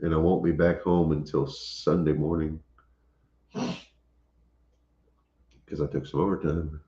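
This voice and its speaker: A Cajun man hosting a casual homestead livestream, easygoing and quick to chuckle. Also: very deep voice, little pitch movement, warm tone. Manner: easygoing, chuckles often, occasional coughs. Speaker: homestead channel host. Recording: echoey room, dull lo-fi sound.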